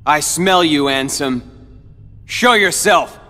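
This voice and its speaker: A man's voice: on the high side, slow, pushed and bright. An actor playing a brooding, defiant teenage boy hero in a video game, speaking in a terse, earnest, intense way. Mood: fierce. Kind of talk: dramatic video game acting. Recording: long hall reverb, music underneath.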